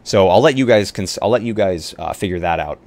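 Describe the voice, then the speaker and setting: A man's voice: deep voice, expressive, fairly quick, darkish tone. A man chatting casually on a live roleplaying stream as he talks through building a game character.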